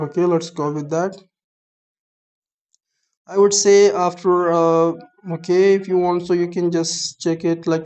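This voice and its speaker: Flat-voiced young Pakistani man. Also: fairly high pitch. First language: Urdu. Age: young adult. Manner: halting, explanatory, starts many phrases with "so". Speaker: app reviewer. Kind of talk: screen-recorded app tutorial.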